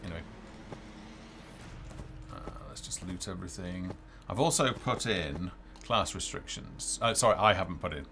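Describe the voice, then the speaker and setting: A man's voice: sits low, animated, normal speed, noisy background. A middle-aged man giving casual live commentary on a game he develops, hesitant and thinking aloud as he plays, on a headset. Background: game music.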